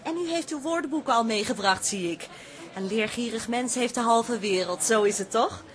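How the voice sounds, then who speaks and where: A woman's voice: fairly high pitch, normal speed, lightly acted. A woman playing a friendly, patient course receptionist in a radio drama, courteous and welcoming.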